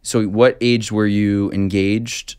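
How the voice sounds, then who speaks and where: A man with a fairly low voice hosts a relaxed sit-down interview. He asks curious, rambling questions and often restarts mid-phrase. The sound is close and dry. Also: slow, clean tone, no room sound. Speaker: podcast host. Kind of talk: casual interview.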